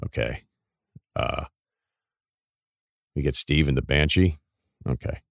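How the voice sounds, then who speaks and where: A man with a deep voice hosting a podcast, telling rambling stories in casual chat and laughing at his own anecdotes. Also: slow, vocal fry, dry recording, clean studio sound. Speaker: podcast host.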